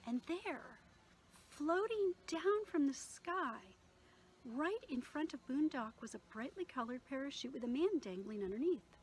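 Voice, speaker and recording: A woman reading her own novel aloud in an expressive storytelling manner, with occasional small stumbles. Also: sits high, a little slow, low-quality recording.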